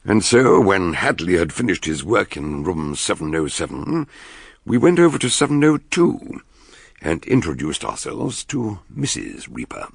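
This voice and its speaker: A genial British doctor-detective in a radio play. The voice is low and very gravelly, with big rolling swings of pitch. He rambles and hesitates, shrewd underneath. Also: big pitch swings, unhurried, full character voice. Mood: narrating. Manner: bumbling, hesitant, with repeated words. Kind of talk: radio drama acting.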